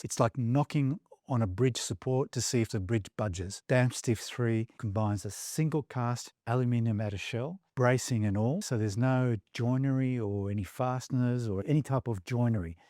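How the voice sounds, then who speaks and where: Low-voiced, mellow man presenting a review. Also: no echo, clean studio sound. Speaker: audio equipment reviewer and presenter. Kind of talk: product review narration to viewers, part scripted, part conversational.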